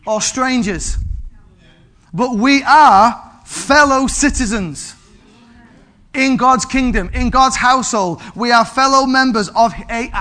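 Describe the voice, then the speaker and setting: A man, preaching an animated sermon to his congregation and calling out for amens. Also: very high-pitched. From England. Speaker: pastor.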